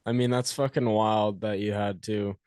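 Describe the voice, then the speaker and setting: Casting: chill male host, lower voice, loose and profane, dry close mic. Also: steady tone, normal speed, a little mellow, clean tone, no echo. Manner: laid-back, swears casually, short reactions. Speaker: podcast host. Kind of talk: casual interview chat.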